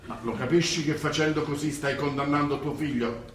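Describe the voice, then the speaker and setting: An actor playing a wily, unctuous older cardinal in an Italian radio drama, measured and preachy. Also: male voice, on the high side, fairly even intonation, natural voice. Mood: coolly persuasive. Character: wily, unctuous high-ranking cardinal, male, older, coolly manipulative. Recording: echoey stage recording, distant mic, hiss.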